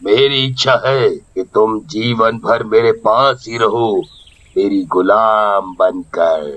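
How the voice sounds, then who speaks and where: A man's voice: fairly low pitch, takes it slowly, a bit bright, rough recording. A man playing a greedy, threatening village fisherman in a children's cartoon story, boastful and emphatic. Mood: demanding.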